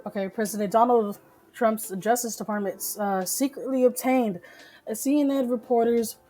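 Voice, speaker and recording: A just-woken woman hosting a live news stream from home, reading articles haltingly, stumbling and swearing as she comments. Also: fairly high pitch, fairly even intonation, mellow, faint music behind. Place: home, relatives talking downstairs.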